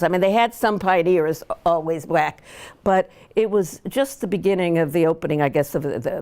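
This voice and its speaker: An older woman with a somewhat low-pitched voice recounts her life story in an oral history interview. She speaks in a reflective, unhurried way, often pausing on fillers and restarting her sentences. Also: darkish tone. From Pittsburgh, Pennsylvania. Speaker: law professor interviewee.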